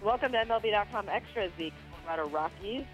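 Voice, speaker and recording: A woman's voice: medium pitch, expressive, quick pace, faint music behind. A woman with an American accent hosting a conversational sports interview for a podcast. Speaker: podcast host, sports reporter. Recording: narrow, muffled line.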